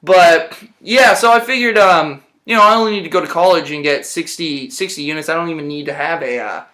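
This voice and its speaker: Young guy lying in a hospital bed, kinda high voice, rambly chill talk with lots of "um" and "dude". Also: brisk, bright, forceful tone. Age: young adult. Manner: laid-back, rambling, slangy with lots of fillers. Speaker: interviewee. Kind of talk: casual chat.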